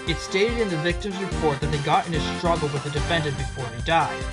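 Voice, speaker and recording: A man voicing a wry, sharp-witted fox defense attorney in a fan audio drama, insistent as he questions a witness. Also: a little high, quick pace, close to an everyday voice. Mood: challenging. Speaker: defense attorney cross-examining. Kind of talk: fan audio drama acting with inner monologue. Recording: loud music under the voice. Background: loud music.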